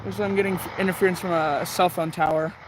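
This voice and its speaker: A young man with a fairly high-pitched voice talks casually, as if to a few viewers on a live stream. He sounds like a hobbyist thinking aloud. Clear outdoor and vehicle-like noise runs behind his voice. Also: fairly even intonation, quick pace. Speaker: shortwave radio hobbyist livestreamer. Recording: clearly noisy outdoor or in-car recording.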